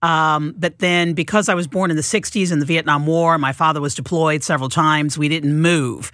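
Female co-host from mid-Missouri, wry and quick, crisp bright voice, dry studio mic. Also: sits low, steady tone, dry recording. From mid-Missouri, USA. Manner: wry interjections and quick laughs. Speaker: radio co-host. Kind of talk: casual on-air chat.

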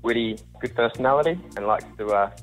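A man's voice: mid-range voice, quick pace, soft background music, poor audio. An Australian man in his twenties talking in a casual, plain-spoken way. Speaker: electrician, dating segment contestant.

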